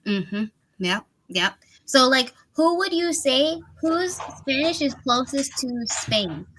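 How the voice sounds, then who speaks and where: A woman's voice: high-pitched, very animated, slow, a bit bright, slight background noise, poor audio. A woman tutoring over a video call in a casual, chatty way, laughing often and filling with "like" and "you know". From Miami, USA. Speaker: English tutor.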